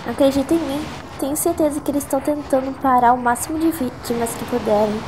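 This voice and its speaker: A Brazilian woman voicing an anxious, earnest young female pony in a dramatic animated fan dub. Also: sits high, quick pace, heavy background noise, lightly acted. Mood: grave, pleading. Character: anxious young female cartoon ponies, pleading and grave. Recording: loud music under the voice.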